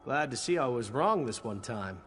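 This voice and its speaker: A man's voice: medium pitch, lively intonation, normal speed, fairly bright tone, natural voice. A man playing a cocky, sardonic knight in a video game, with a flippant, teasing, wry way of talking. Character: cocky sardonic knight, male adventurer, jaded but charming. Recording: long hall reverb, music behind.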